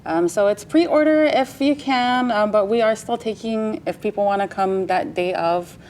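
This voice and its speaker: A woman in a casual television interview, chatty and quick to laugh as she tells her stories. Her voice sits at a middle pitch. A faint low rumble sits under the studio sound. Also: darkish tone. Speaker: guest, mother of the powwow princess.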